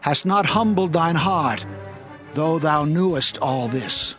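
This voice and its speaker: A man's voice: on the high side, expressive, slow. A man playing a grave prophet who rebukes a proud king in a solemn, declamatory way, in a dramatized scripture reading. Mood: stern, accusing. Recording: dull lo-fi audio with music.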